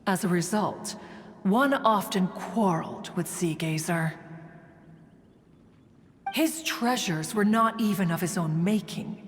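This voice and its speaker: A woman's voice: lowish voice, slow, long pauses, slight background noise. A woman playing a proud, stately immortal adeptus in a video game, speaking in formal archaic phrasing and calling herself "one". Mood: stately, nostalgic. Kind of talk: scripted game dialogue, storytelling. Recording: reverberant hall sound, music behind.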